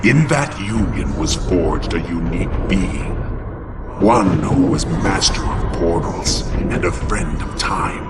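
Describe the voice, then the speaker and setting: A man's voice: low voice, far from the mic, full character voice. A man playing a bitter, vengeful half-angel, half-demon outcast in a theatrical, sardonic video game cutscene monologue. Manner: theatrical, brooding, deliberate, sardonic.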